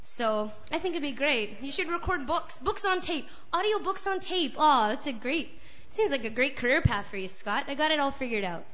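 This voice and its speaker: A woman's voice: high-pitched, expressive, normal speed, a bit bright, a little hiss or hum. A woman guest-hosting an open mic, joking and rambling between acts in a chatty, self-mocking way. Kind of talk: casual joking stage banter. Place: small live venue on stage. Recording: dull lo-fi live recording.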